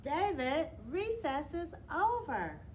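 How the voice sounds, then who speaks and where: A woman's voice: high-pitched, very animated, takes it slowly, pitched above the performer's own voice, heavily acted. A woman voicing a stern schoolteacher who scolds a pupil with firm, emphatic commands. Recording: clear background noise.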